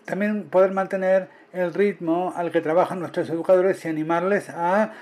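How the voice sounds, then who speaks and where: A man's voice: sits high, steady tone, moderate pace, a little mellow. A man interpreting a conference talk live, following the speaker in short phrases and correcting himself as he goes. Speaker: simultaneous interpreter.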